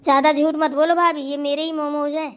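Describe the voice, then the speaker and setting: A woman's voice: sits high, little pitch movement, fairly quick, fairly bright tone. A woman playing a demanding, quarrelsome young sister-in-law who complains insistently in a family comedy skit. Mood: insistent, aggrieved.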